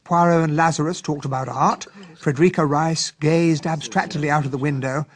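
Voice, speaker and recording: An actor playing a gentlemanly English captain who narrates a detective case in a radio mystery drama, measured and genteel. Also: male voice, on the high side, steady tone, no room sound, lightly acted. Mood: genteel, observant.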